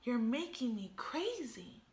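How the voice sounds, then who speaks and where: A woman voicing a fed-up young male fish in a storybook, with drawn-out, annoyed retorts. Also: on the high side, big pitch swings, a little mellow, heavily acted. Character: exasperated young male fish, the shark's best friend.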